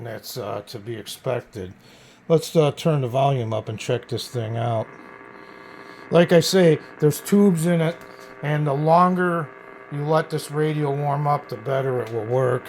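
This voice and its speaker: Man, mid-pitched, creaky voice, halting delivery, casual vintage radio demonstration, faint background noise. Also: a little mellow. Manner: halting, stumbles over words when reading. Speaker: radio collector presenting a vintage radio. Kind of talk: casual product demonstration, partly reading notes aloud.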